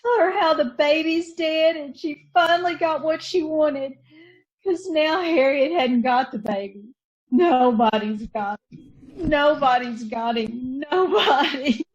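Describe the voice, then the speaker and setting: A woman's voice: high voice, slow, dull audio. A woman acting a grieving, bitter sister in an old-time radio drama, with plain folksy speech and repeated phrases. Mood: anguished, accusing. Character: grieving, bitter sister of a committed young mother.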